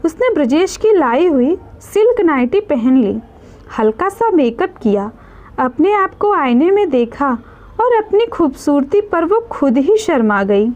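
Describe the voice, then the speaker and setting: A woman's voice: high voice, darkish tone, dull audio. A woman narrating a scripted dramatic story as a storyteller.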